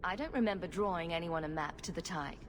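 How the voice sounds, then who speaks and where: A woman's voice: lowish voice, quick pace, close to an everyday voice. Woman acting a sarcastic rogue heroine in echoing cavern. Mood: questioning. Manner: sarcastic, flippant. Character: wry, bold young female rogue champion. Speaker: player character in a fantasy game. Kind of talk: video game voice acting. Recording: echoey cavern reverb, faint noise.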